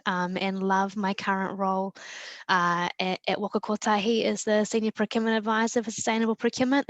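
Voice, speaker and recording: Guest speaker: woman, mid pitch, flat even delivery, dry close sound, earnest list-like answer. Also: dry recording. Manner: earnest, listing points in a row. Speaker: webinar guest, procurement advisor. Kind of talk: enthusiastic prepared answer.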